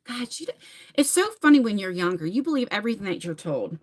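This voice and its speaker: A woman's voice: mid-range voice, sing-song, fast. A woman in her forties from New Jersey, chatting casually on a live stream, reading viewer comments and talking to them by name. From New Jersey, USA. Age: 40s. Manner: chatty, laughs often, talks straight to viewers by name. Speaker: livestream host.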